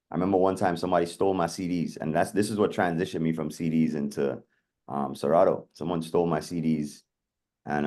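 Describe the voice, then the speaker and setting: A man from Atlanta talks as a guest in a casual interview, his voice very low and deep. He rambles through his stories in a chatty way and leans on "you know" often. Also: dark tone. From Atlanta, USA. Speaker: guest DJ and producer.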